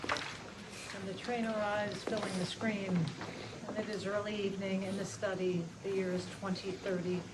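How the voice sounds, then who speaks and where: A woman with a mid-pitched voice narrates screenplay directions at a live table read. There is clear room noise behind her. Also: flat delivery, moderate pace. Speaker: stage-direction reader. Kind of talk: scripted narration of stage directions. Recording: noisy live room ambience.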